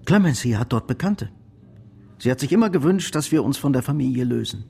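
An actor playing an ashamed, soft-hearted bankrupt son in a German radio play, halting and confessional. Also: male voice, medium pitch, animated, gravelly, slightly rough. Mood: subdued.

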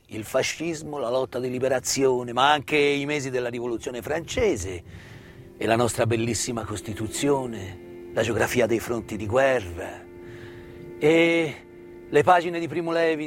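A middle-aged man delivers a narrative stage monologue with deliberate, emphatic phrasing and dramatic pauses. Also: mid-range voice. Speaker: stage actor and storyteller. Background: live instrumental music.

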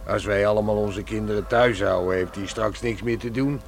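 A man's voice: fairly low pitch, fairly even intonation, normal speed, vocal fry, faint music behind. An actor playing an easygoing, teasing village man in a Dutch radio play, chatty and down-to-earth. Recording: noisy old radio play recording.

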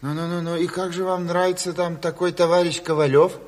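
An actor playing a folksy revolutionary official in a theatrical radio drama, mock-polite, teasing and sly. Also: male voice, sits high, flat delivery, fairly quick, a little mellow, natural voice. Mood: mock-polite, probing. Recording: reverberant 1970s radio studio.

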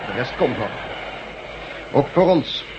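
A man playing a gruff, domineering camp chief in a radio drama, speaking in a commanding way. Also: medium pitch, very animated. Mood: brisk, grim. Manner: commanding, brisk. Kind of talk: Dutch radio drama acting. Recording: dull, very noisy old radio recording.

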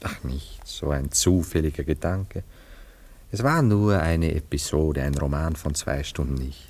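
Low-pitched male voice, nostalgic self-absorbed romantic, theatrical radio play delivery, musing and savoring words. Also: unhurried, darkish tone. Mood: wistful. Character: nostalgic, self-absorbed romantic man recalling past love affairs. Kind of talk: German radio play acting.

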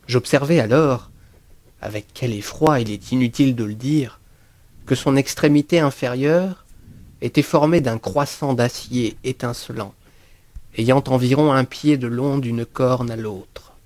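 Low-voiced man narrating a dark literary tale. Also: no echo. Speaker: audiobook narrator. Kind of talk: dramatic literary narration of a first-person horror tale.

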